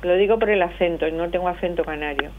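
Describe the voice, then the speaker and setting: A middle-aged woman with a Madrid Spanish accent comes through a thin, tinny phone line. Her voice sits a little low. She explains things at length, with lots of pauses. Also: a little hiss or hum. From Madrid, Spain. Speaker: association spokesperson, phone guest. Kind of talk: phone-in radio interview.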